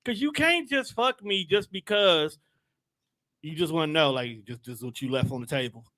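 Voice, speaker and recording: A young man hosts a casual podcast with a lively, bouncing delivery and a bright, forward tone. He sits a little high in pitch and keeps things joking and loose. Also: normal speed. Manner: joking, animated, laughs often. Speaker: podcast host. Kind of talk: casual comedic chat.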